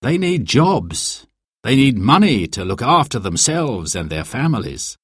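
A man voicing a concerned, earnest uncle in a children's story, with a measured delivery. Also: mid-range voice, very animated, breathy edge, no echo, studio quality. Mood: sad, concerned.

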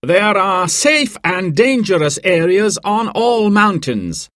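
A man's voice: high voice, sing-song, takes it slowly, a bit bright, no room sound, clean studio sound, pitched above the performer's own voice, full character voice. A man voicing an upbeat male mountain guide with an emphatic, instructive manner in a storybook.

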